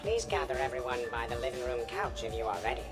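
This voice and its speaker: A man's voice: mid-range voice, expressive, fast talker, lightly acted. An actor playing a polite, helpful male drone assistant in a video game, speaking formally and precisely. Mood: courteous, attentive. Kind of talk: video game character performance. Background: prominent music.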